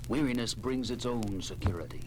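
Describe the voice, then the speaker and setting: A man's voice: medium pitch, a little fast, background noise. A man narrating a scripted horror story in a theatrical, ominous style, like a 1970s story record.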